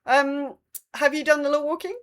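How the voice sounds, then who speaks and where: A woman's voice: sits high, steady tone, normal speed, fairly bright tone. A woman co-hosting a casual gaming podcast, speaking hesitantly and repeating herself as she goes. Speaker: podcast host.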